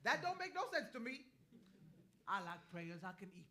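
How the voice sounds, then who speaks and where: An actress as an earthy, ageless river woman, sly and folksy, fishing for her supper. Her voice is very bright and forceful and swings widely in pitch as she teases and dispenses wisdom in a theatrical stage reading. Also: very animated, normal speed. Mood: grumbling, wry. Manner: folksy, teasing, chuckling drawl of a wise elder.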